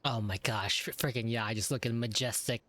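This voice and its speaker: Very low-voiced woman reacting to a game. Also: moderate pace, a bit bright, slight background noise. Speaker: gameplay streamer. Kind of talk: casual gameplay reactions.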